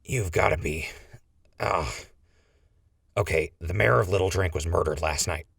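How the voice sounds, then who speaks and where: A man playing a wry, scheming ghoul who leads a crew, smooth-talking and gravelly, in a post-apocalyptic audio drama. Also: low-pitched, a little slow, a bit bright, no room sound, clean studio sound, exaggerated voice. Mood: exasperated.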